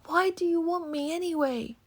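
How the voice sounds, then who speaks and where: A woman voicing a young orphan boy, earnest and frightened, pleading in a high voice. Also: moderate pace.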